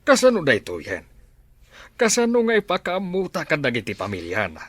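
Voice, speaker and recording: A man's voice: a little high, wildly swooping pitch, fairly quick, fairly bright tone, dry recording, full character voice. A man with an Ilocano accent playing a stern, exasperated middle-aged father in a radio drama, exclaiming and scolding.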